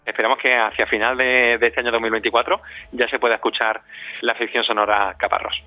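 Halting man in dull lo-fi audio. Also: mid-range voice, flat delivery, no room sound, soft background music. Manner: halting, searching for words, repeats words. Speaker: interview guest, audio fiction director. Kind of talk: spontaneous interview answers.

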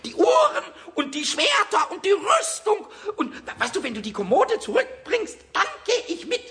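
A tiny, cheeky kobold from a children's radio play, squeaky and very high. The voice leaps around animatedly, stumbling over and repeating words with childlike, excitable curiosity. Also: male voice, moderate pace, full character voice. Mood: eager, defiant. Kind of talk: 1970s German children's radio play acting.

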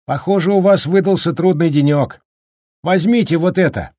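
A man voicing a cheerful, talkative middle-aged snowplow driver who speaks in a brisk, folksy, joking way. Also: on the high side, mellow, dry recording, studio quality, voice pushed up, lightly acted. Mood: cheery, chatty.

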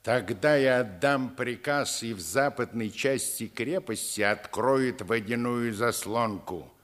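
An actor playing an imperious, impatient German army colonel in a 1950s Soviet radio play, commanding, formal and theatrical. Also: male voice, mid-range voice, takes it slowly, a bit bright. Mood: stern, threatening.

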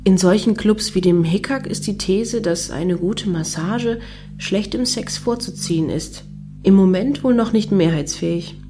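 Actress doing ironic club woman, medium pitch, wordy and self-indulgent, radio play, bit of music under it. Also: measured pace, mellow, lightly acted. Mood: wry, musing. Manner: wry, articulate. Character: wry, self-indulgent female clubgoer commenting on the scene. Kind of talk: comic radio play monologue and dialogue. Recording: music behind the voice.

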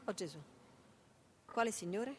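A woman's voice: medium pitch, animated, unhurried, a little mellow, breathy edge. An actress with a Neapolitan accent playing a harried, proud, affectionate single mother in a radio play, emphatic and repetitive. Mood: exasperated. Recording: noisy radio theatre recording.

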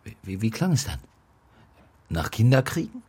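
An actor playing a dry, insistent police detective, terse and sardonic, in a naturalistic radio crime drama. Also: male voice, lowish voice, very animated, moderate pace, a little mellow, breathy edge, full character voice. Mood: probing.

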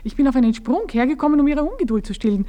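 A woman playing a composed married woman speaking to an old friend in measured tones in a radio play. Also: high-pitched, very animated, muffled recording. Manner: measured, sometimes whispered confidences.

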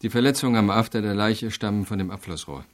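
An actor playing an unyielding deputy prosecutor in a radio crime drama, laying out facts in a firm, deliberate way. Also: male voice, on the low side, close to an everyday voice. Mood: sober, factual. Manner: matter-of-fact, deliberate, firm. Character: methodical, unyielding male deputy prosecutor interrogating.

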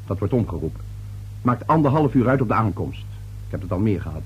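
A man's voice: on the low side, sing-song, fast, slightly rough, lo-fi sound, heavily acted. An actor playing an irritable, domineering middle-aged father and businessman in a radio play. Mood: startled, impatient. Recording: hummy, noisy old radio recording.

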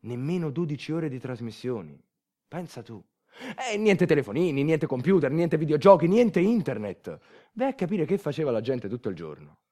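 A wry, colloquial storyteller in a theatrical monologue. His voice sits a little high for a man and moves in lively, wide swings as he recounts things like an ordinary fellow. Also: normal speed. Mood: wry, nostalgic. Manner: wry, colloquial, repeating catchphrases. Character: wry everyman storyteller recalling football and old times. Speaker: actor narrating a monologue.

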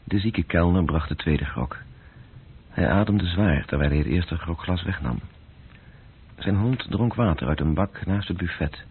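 Serious radio play narrator, low and really gravelly-husky, old dull recording. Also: male voice, animated, measured pace, heavily acted. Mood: sombre, observant. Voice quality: very husky, deep. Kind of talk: scripted literary narration.

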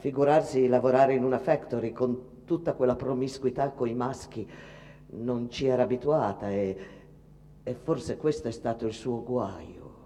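A woman's voice: very deep voice, normal speed, warm tone. An actress playing a bitter, weary Italian immigrant mother in a dramatic radio monologue, plain-spoken, rustic and emphatic. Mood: weary, sorrowful. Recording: reverberant hall-like sound.

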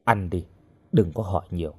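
Audio-story masked henchman acted by a male narrator: low, husky, cold and curt, secretly kind. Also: normal speed, dark tone. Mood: cold, warning. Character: cold, taciturn masked henchman with a hidden kindness.

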